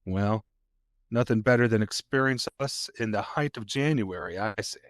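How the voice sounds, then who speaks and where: An actor playing a chatty, folksy local sheriff's deputy in an audio play, telling things in a colloquial way. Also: male voice, lowish voice, natural voice. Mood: folksy, easygoing. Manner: folksy, colloquial, unhurried storytelling. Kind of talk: naturalistic audio drama dialogue.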